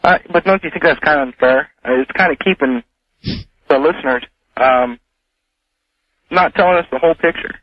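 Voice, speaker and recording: An American man calling a radio show, asking questions casually in a halting, tentative, roundabout way. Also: a little high, no echo. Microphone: telephone. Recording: dull telephone line on radio.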